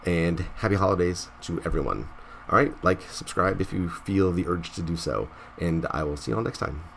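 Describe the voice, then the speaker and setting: A man's voice: low voice, normal speed, vocal fry, a bit bright, a little hiss or hum. A man hosting a casual gaming vlog, stuffy from a cold, rambling with many restarts and tag questions. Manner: rambling, restarts often, says "right?". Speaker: gaming channel host.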